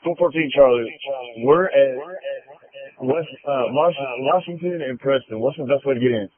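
A man's voice: medium pitch, moderate pace. A male police officer calling in and asking for directions over a police radio. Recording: tinny band-limited police radio.

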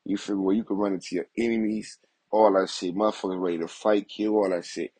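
Husky low-voiced man in street-style commentary. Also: steady tone, quick pace, warm tone, no room sound. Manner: streetwise, repeats "you feel me" constantly. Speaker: news commentary host. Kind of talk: casual commentary vlog.

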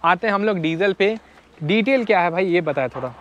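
Young host, higher-pitched, chatty, slips in English, lapel mic outdoors. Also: male voice, expressive, a little fast, fairly bright tone, muffled recording, a little hiss or hum. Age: young adult. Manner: chatty, mixes English phrases, addresses viewers as brothers. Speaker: car vlogger host. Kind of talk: casual walkaround car showcase. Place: outdoor used-car lot. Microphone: clip-on lapel mic.

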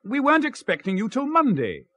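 A man's voice: very high-pitched, wildly swooping pitch, brisk, a bit bright, no echo, exaggerated voice. An actor playing an evasive, apologetic, strained married man in a radio drama, with an English accent and a polite, hesitant, clipped manner. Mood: flustered, defensive. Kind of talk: 1970s radio drama acting.

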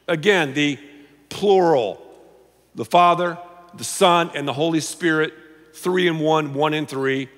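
An older male pastor preaches from a big church stage, his voice lively and rising a little high. He's playful, often sinking to a low confiding tone. A long hall reverb trails his words. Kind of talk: sermon. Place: large church stage before an audience.

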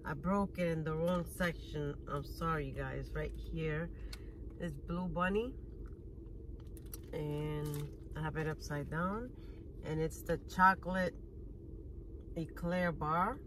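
Woman, 30s, chatty car vlogger; unhurried, bright tone, phone held at arm's length, faint outdoor hum. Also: fairly low pitch. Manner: chatty, eating while talking, smacking sounds. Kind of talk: casual food review vlog. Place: parked car. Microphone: phone camera at arm's length.

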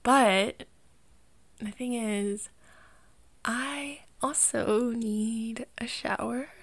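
A woman's voice: a little high, fairly even intonation, drawn-out words, slightly rough, dry recording, heavily acted. A woman playing an affectionate, teasing girlfriend cheering on her man in a playful, encouraging ASMR roleplay. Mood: playful, coy. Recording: music behind the voice.

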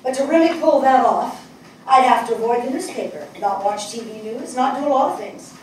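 An older woman acting a grieving, resolute New Yorker in a dramatic stage reading, speaking in emphatic, deliberate short phrases. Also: a little high, close to an everyday voice. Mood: weary. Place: indoor theatre stage. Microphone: distant camera. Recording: echoey stage, distant mic, faint noise.

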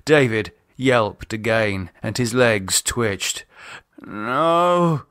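A man narrating a novel in a measured, deliberate audiobook style. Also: on the low side, fairly bright tone, no room sound, studio quality. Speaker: author reading own novel. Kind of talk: scripted audiobook narration, dramatised.

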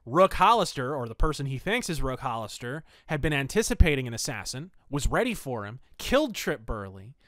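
A man's voice: medium pitch, very animated, fairly quick, few pauses, a bit bright, no room sound. Man hosting a podcast, close dry sound. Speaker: podcast host. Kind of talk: reading pulp fiction aloud and reviewing it.

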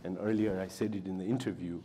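A man in his forties speaks earnestly on a conference panel. His voice is soft and mellow, and he circles back over his own words as he builds his case. He is recorded on a lapel mic in an indoor hall. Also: low-pitched, fairly even intonation, fast talker. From Tanzania. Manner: earnest, repeats words when starting, emphatic. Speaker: panelist, businessman. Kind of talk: panel discussion.

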